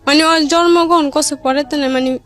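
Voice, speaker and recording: Female interview guest, high voice, rural Bangladeshi dialect, halting plain-spoken storytelling, soft music behind. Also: steady tone. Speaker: studio guest telling her life story. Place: radio studio.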